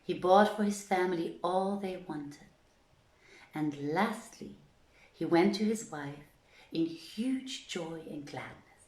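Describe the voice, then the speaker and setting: Female storyteller for literary narration: slightly low voice, unhurried dramatic delivery, roomy acoustic. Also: a little mellow. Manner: measured, dramatic, deliberate phrasing. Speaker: storyteller, reader.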